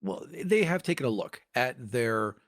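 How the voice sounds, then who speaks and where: A man's voice: medium pitch, sing-song, measured pace. A man hosting a casual tabletop gaming discussion, emphatic and given to rhetorical questions. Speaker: podcast host.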